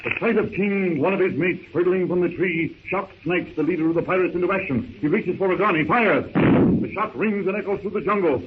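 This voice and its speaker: A man's voice: high voice, brisk, few pauses, phone-quality sound, close to an everyday voice. A man narrating a 1930s radio adventure serial in a theatrical, suspenseful, declamatory manner. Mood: urgent. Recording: thin, noisy 1930s radio recording.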